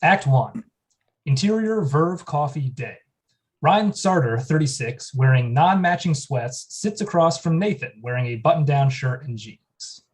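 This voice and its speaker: A man's voice: fairly high pitch, unhurried. Distant man reading screen directions. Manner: plain, even reading. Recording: mic at a distance.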